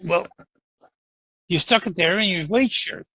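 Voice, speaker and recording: A man's voice: high-pitched, a little slow, pushed and bright, full character voice, rough recording. A man playing a meek, folksy assistant to an Old West marshal in a radio western, with a hesitant, stammering, deferential delivery. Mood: anxious, pleading. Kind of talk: old-time western radio drama acting.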